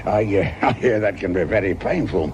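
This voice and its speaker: A man's voice: fairly low pitch, moderate pace, background noise, natural voice. An older man with an English accent playing an ailing, grumbling police detective sergeant near retirement in a radio drama, sardonic, world-weary and coughing. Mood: wry. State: coughing, ill with bronchitis.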